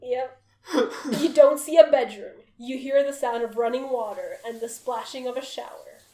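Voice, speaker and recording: A woman's voice: fairly high pitch, moderate pace, dark tone. A woman with a giggly, playful manner narrating a comic story as a dramatic reading among friends. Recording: roomy echo with faint music.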